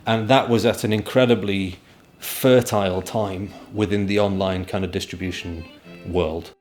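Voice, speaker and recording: A man speaks in an interview as a director. His voice is on the low side, and faint guitar music sits behind him. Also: fairly even intonation, moderate pace, a little mellow.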